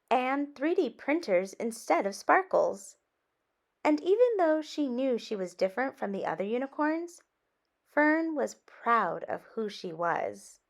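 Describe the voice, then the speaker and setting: High-voiced woman reading a picture book aloud. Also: creaky. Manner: animated, child-directed asides. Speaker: children's storytime reader.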